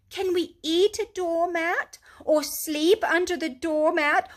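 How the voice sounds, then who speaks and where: A woman's voice: fairly bright tone, heavily acted. Mole, a woman doing a whiny grumbling male mole. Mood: exasperated. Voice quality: high, whiny, crying tone. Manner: pettish, complaining, exasperated. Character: fussy, grumbling male mole, small and injured.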